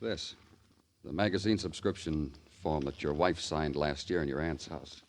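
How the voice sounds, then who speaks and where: An actor playing a tough, dogged homicide detective with a terse, dry manner in 1950s radio crime drama. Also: male voice, a bass voice, normal speed. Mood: dry, pressing.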